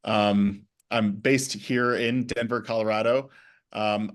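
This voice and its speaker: A man speaking as a guest in a class talk, pausing often with ums and restarting words. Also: on the low side. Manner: hesitant, many ums and restarts. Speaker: guest speaker, bank vice president.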